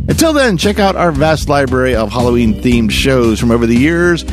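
A male podcast host reads a scripted segment in an animated, mid-pitched voice. His intonation rises and falls often, with music clearly playing behind him.

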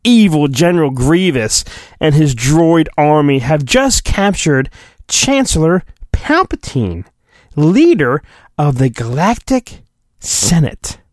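A man's voice: fairly high pitch, sing-song, slow, dry recording, clean studio sound. A man hosting a podcast, casual and self-deprecating, reading a children's storybook aloud in a playful mock-dramatic way. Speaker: podcast host.

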